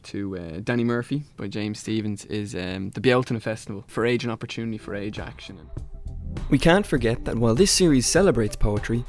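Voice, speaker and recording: A man's voice: on the low side, expressive, brisk, soft background music. An Irish man hosting a radio interview, conversational and encouraging as he presents.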